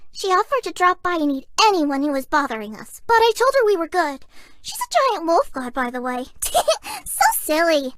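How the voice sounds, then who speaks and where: A woman voicing a shy, sweet, excitable young female fox spirit for a video game, with a cutesy girlish voice. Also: extremely high pitch, no room sound, full character voice. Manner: bashful, earnest, playful. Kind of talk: video game character voice lines.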